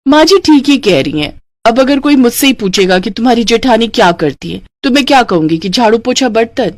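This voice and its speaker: A woman voicing a haughty, boastful young daughter-in-law in a cartoon story, sharp and condescending. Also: fairly high pitch, expressive, brisk, no room sound, voice pushed up, close to an everyday voice. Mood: scornful.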